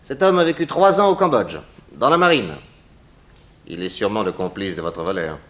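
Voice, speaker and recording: Casting: confident, inquisitive detective, fairly low voice, very lively intonation, classic 1960s radio drama. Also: male voice, moderate pace. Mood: confident, deductive. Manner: courteous, inquisitive, theatrical. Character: shrewd, probing, confident American male detective. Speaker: actor in a detective radio serial.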